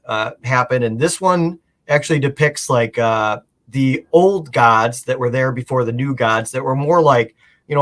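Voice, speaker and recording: A man's voice: medium pitch, a bit bright. Casual man with a mid-range voice. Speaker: guest comic art collector. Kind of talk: casual chat, explaining collected artwork.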